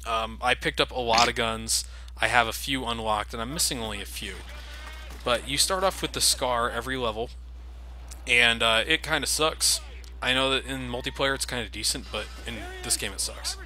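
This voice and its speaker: A man's voice: mid-range voice, moderate pace, bright, forceful tone. A young man casually commenting over game cutscenes, joking and critical of the game as the host. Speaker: let's play host.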